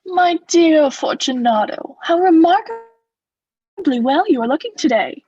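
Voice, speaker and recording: A young woman playing a coldly vengeful noble who feigns friendship, in a measured, formal, insinuating theatrical radio-play style. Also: high voice, lively intonation, mellow, highs cut off, soft background music. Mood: falsely solicitous. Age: young adult. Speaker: student actor.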